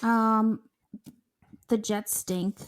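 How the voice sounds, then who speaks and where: A woman co-hosting a podcast, giving blunt, giggly takes in casual chat with a high voice. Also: steady tone, very slow. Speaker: podcast co-host.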